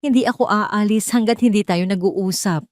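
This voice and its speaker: A woman's voice: medium pitch, moderate pace, darkish tone, no echo, studio quality, voice pushed up, close to an everyday voice. A woman voicing a determined, insistent male CEO in an audio drama story, urgent and pressing. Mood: insistent pleading.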